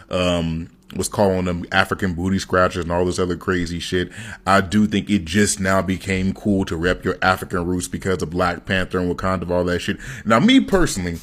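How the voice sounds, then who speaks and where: Deep-voiced man giving blunt commentary. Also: fairly bright tone. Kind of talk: commentary video.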